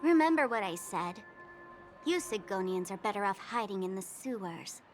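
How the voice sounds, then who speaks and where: A woman's voice: mid-range voice, expressive, normal speed, close to an everyday voice. A woman voicing a mischievous, menacing young masked trickster in a video game, taunting and teasing, over music.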